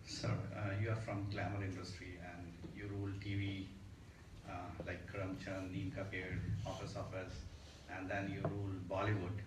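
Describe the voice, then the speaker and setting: A man's voice: low voice, flat delivery, moderate pace. A man putting a spontaneous question at a press conference. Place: arts center hall at press conference. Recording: echoey room, distant mic, clear noise.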